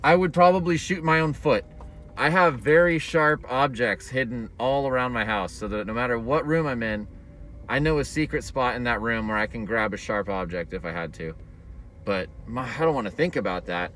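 A man's voice: mid-range voice, moderate pace, a bit bright. A young man, talking candidly and a bit worried in a casual vlog, recorded in a car on a dashboard camera, his voice muffled through a face mask. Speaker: vlogger. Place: inside a car. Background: faint car and street noise.

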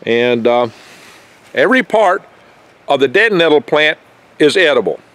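A man's voice: on the high side, sing-song, unhurried, fairly bright tone. An older man hosting a foraging vlog outdoors in his garden, talking in a rambling, folksy, chatty way with small jokes and stumbles, recorded on a handheld camera mic held close. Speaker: foraging channel host. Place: outdoors in a garden among pine trees.

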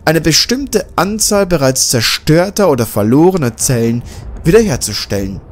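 Male reader voicing a smug, formal scientist; raised pitch, measured lecturing tone; audiobook. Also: animated, slight background noise, close to an everyday voice. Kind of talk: audiobook character voice.

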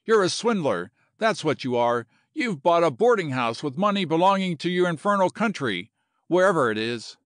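A man's voice: fairly high pitch, sing-song, moderate pace, a bit bright, no echo, clean studio sound, pitched above the performer's own voice. A man voicing a blustering, sputtering tough-guy swindler hurling slangy, indignant insults. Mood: outraged.

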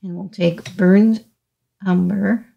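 A middle-aged woman teaching watercolor step by step, speaking haltingly as she thinks aloud while painting. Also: mid-range voice, fairly even intonation, gravelly, highs cut off. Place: indoors, small studio room at a table.

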